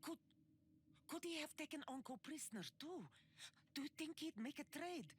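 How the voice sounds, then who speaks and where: A woman's voice: sits high, lively intonation, normal speed, a little hiss or hum. An actress playing an earnest, dutiful woman scientist grieving her husband in a video game. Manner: earnest, pleading, quietly sorrowful.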